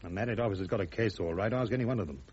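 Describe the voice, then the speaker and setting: Radio drama casting: proud, embittered disgraced major, husky, curt and sardonic, muffled sound. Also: male voice, on the low side, racing, a little mellow. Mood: sardonic, firm. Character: bitter, proud, court-martialled army major.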